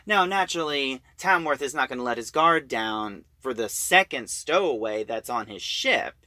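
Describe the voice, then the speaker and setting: A man's voice: medium pitch, lively intonation, normal speed, sharp tone, no room sound, studio quality. A man giving a casual recap of a story as an audio drama reviewer.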